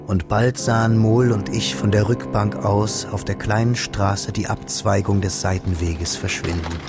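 A man recalling the past as a literary narrator. His voice is fairly low and full of creak, with a restrained, melancholic way of telling. Loud instrumental music plays behind him. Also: steady tone, a little slow. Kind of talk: literary scripted narration in radio drama.

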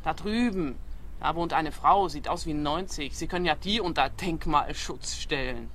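A hard-bitten squatter in a radio play, with a sharp, forceful voice. She fires out clipped, coarse, distrustful replies and always sounds ready for a fight, over faint ambience. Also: low-pitched, normal speed, a little hiss or hum. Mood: curt, scornful. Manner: curt, clipped, combative, coarse. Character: abrasive, distrustful young woman squatter from the streets.

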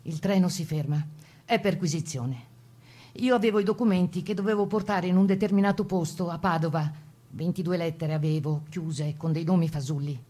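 A woman's voice: on the low side, moderate pace, dark tone, husky. An actress playing a spirited woman partisan courier, telling her wartime memories as a conversational radio drama monologue full of rhetorical questions. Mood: tense, defiant. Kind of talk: dramatic first-person monologue.

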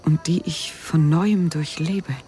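An actress playing a guarded widow haunted by loss in a radio play, speaking in a measured, pausing way. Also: female voice, sits low, fairly even intonation, mellow, soft background music.

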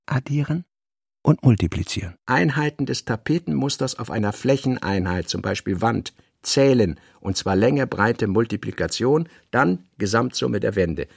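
Guy reading literary stuff for radio, husky and creaky, clipped phrases. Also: mid-range voice, no room sound, clean studio sound. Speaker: literary reader.

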